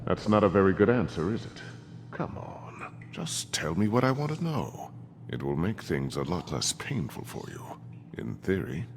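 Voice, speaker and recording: Casting: smug game villain, low voice, very varied inflection, threatening and mock-polite, music behind. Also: male voice, sing-song, normal speed. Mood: menacing. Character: menacing, smug fairy-tale villain, impatient strongman interrogator. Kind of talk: video game dramatic acting.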